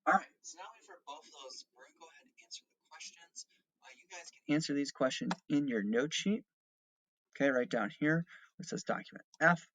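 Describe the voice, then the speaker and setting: A man's voice: on the high side, brisk, fairly bright tone, no echo, low-quality recording. A man teaching seventh grade remotely, giving step-by-step instructions and explanations and often checking in with okay and all right. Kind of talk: remote lesson instructions and read-aloud.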